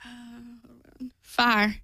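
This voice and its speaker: A woman's voice: fairly high pitch, little pitch movement, extremely slow, sharp tone, husky, dry recording, clean studio sound. Giggly young Kentucky woman. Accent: Southeast Kentucky. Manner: brief replies, giggly. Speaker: guest. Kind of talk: casual chat.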